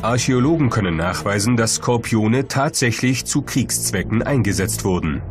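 Male documentary narrator, low voice, scripted delivery, clear music bed underneath. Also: animated, moderate pace.

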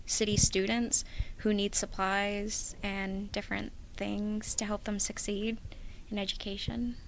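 A woman's voice: mid-range voice, level tone, measured pace, creaky, low-quality recording. A woman in her twenties giving tentative, casual interview answers into a handheld microphone. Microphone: handheld microphone held out by interviewer. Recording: faint background noise, clicks.

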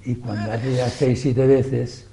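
Older man with a very dark, mellow voice. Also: mid-range voice, little pitch movement, slow pace, creaky. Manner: storytelling, chatty, with small restarts. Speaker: show regular telling a joke. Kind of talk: casual chat and joke telling. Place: indoor TV studio set.

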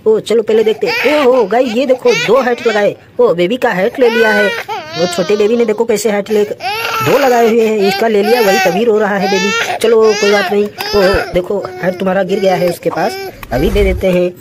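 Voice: mid-range voice, moderate pace. A host narrating toy play for children in a playful, exclamatory way, full of repeated little cries of wonder. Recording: very noisy background.

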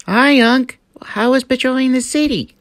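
A woman's voice: high voice, moderate pace, vocal fry, background noise. A woman voicing an eager, plucky young girl rabbit in an amateur cartoon, with a chirpy, pleading, exclamatory delivery. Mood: cheerful, eager.

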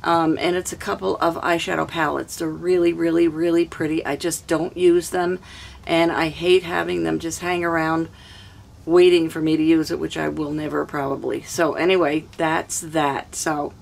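An older woman with a hoarse throat, chatting casually through a cooking vlog in a small camper kitchen. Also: sits low, little pitch movement, normal speed. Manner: chatty, homey, rambling. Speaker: cooking vlogger.

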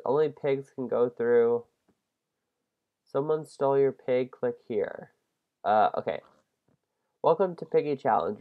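Congested young male game host, animated, self-deprecating, prone to yelps. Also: medium pitch, creaky, phone-quality sound. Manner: excitable, self-deprecating, shrieks at mistakes. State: congested from a head cold. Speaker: gaming channel host. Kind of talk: casual Minecraft let's-play commentary.

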